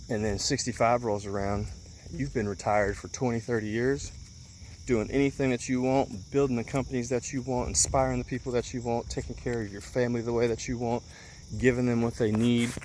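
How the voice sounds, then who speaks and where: A man's voice: mid-range voice, a little mellow, slight background noise. A man in his late twenties giving an earnest motivational talk outdoors, with lots of ums and repeated words for emphasis. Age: 20s. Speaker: motivational vlogger.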